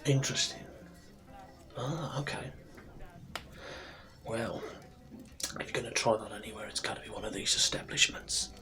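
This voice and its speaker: A man playing a friendly, easygoing tavern barkeep in a soft-spoken, chatty fantasy ASMR roleplay. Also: on the low side, moderate pace, long pauses, close to an everyday voice. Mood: chatty, reassuring. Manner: informal, chatty, reassuring. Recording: soft background music and tavern ambience. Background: music, tavern ambience.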